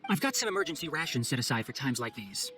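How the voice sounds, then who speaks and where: A man's voice: medium pitch, sing-song, quick pace, sharp tone, soft background music. An actor playing an earnest, scholarly young male forest ranger in a video game cutscene, speaking formally and explaining things with composure. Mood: composed, urgent.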